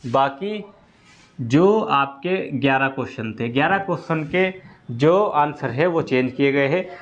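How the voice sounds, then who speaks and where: Higher-pitched man explaining, dull audio. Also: lively intonation, unhurried. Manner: repetitive, step-by-step explaining. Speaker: exam-update presenter for students. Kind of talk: informal explanatory update.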